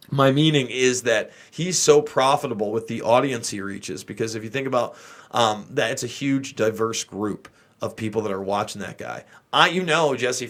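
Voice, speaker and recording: An American man with a medium-pitched voice hosts a livestream of political commentary. He speaks off the cuff and straight to his viewers. His delivery is opinionated and emphatic, with an exasperated edge. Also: a bit bright.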